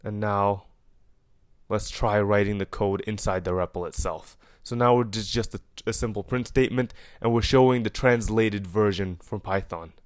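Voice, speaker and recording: A man's voice: on the low side, normal speed, clean tone, no room sound. Dry-sounding young man with an Egyptian accent. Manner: relaxed, with fillers and self-corrections. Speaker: programmer explaining his project. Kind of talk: casual coding walkthrough.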